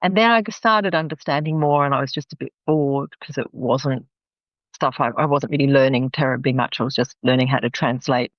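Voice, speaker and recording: A woman's voice: extremely low pitch, a little fast, no room sound, lo-fi sound. A woman in her fifties with an Australian accent, a podcast guest telling stories chattily and often laughing. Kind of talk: podcast interview.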